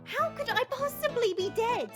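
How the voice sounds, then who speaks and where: A woman's voice: very high-pitched, lively intonation, normal speed, over music. A woman voicing a small young dragon princess, prim, polite and easily embarrassed, in an audio drama. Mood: exasperated, matter-of-fact. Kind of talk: audio roleplay drama acting.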